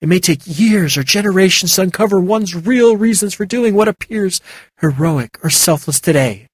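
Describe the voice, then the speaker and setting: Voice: medium pitch, lively intonation, normal speed, slightly rough, no echo, studio quality, voice pushed up. A narrator voicing a proud female legendary snow leopard who speaks in a stern, scornful growl. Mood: suspicious.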